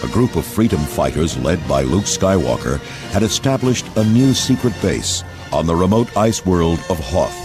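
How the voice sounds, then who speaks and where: A man's voice: deep voice, sing-song, normal speed, hardly any pauses. A man narrating a scripted storybook read-along in a dramatic storytelling manner. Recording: loud music under narration.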